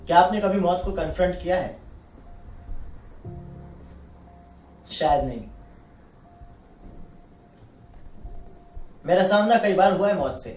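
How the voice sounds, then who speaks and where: Man acting a high-voiced, merciless army colonel, echoey. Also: a little mellow, natural voice. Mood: grave, challenging. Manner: grave, deliberate, drawn-out emphasis on key phrases. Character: proud, merciless war-veteran colonel presiding over a court martial. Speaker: stage actor performing a monologue. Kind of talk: dramatic theatrical Hindi monologue. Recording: echoey room, distant mic, faint music.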